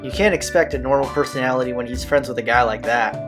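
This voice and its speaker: A man's voice: medium pitch, quick pace, music in the background. A man reading a visual novel's narration aloud as a let's player, with occasional casual asides. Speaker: video game let's player.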